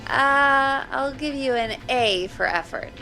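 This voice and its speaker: A woman's voice: high voice, slow pace, bright, forceful tone, soft background music, full character voice, rough recording. A woman voicing a perky, gossipy radio show producer in a video game, chatty and enthusiastic. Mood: eager, doubtful. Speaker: radio producer putting calls through.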